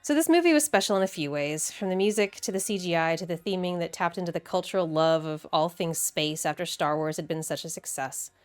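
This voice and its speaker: A woman's voice: fairly low pitch, brisk, hardly any pauses, soft background music. A woman with an American accent narrates a scripted video essay in a wry, conversational, emphatic way with casual asides. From Midwestern United States.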